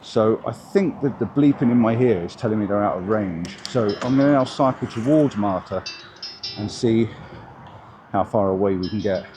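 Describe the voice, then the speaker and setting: A man's voice: fairly low pitch, moderate pace, background noise. A man casually demoing and testing gear for his vlog, thinking aloud as he goes. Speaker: vlogger reviewing gear. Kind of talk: casual product demo. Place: outdoors on a bike, then indoors.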